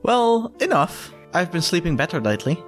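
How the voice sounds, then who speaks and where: A man's voice: high-pitched, very animated, moderate pace. A man voicing a modest young shop assistant in a visual novel, polite and earnest. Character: modest young male furry shop assistant, foreign newcomer. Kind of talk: visual novel character read-aloud. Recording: music behind the voice.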